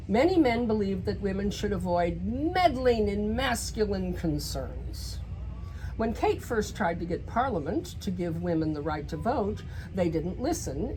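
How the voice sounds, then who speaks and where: A woman reads a picture book aloud to children, pausing for emphasis and speaking directly to her young listeners. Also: medium pitch, low-quality recording. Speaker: children's story reader. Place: bookstore in a shopping center.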